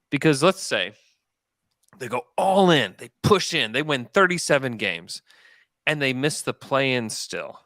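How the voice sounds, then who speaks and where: A man's voice: mid-range voice, fairly bright tone, no room sound, clean studio sound. A man hosting a sports podcast, arguing his points emphatically in a casual debate.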